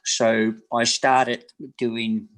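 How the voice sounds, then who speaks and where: A man in his sixties from New Zealand, a massage therapist telling his life story in an interview, rambling and halting with many fillers and restarts. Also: mid-range voice, level tone, fairly bright tone. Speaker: guest, massage therapist and personal trainer. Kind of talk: casual interview talk.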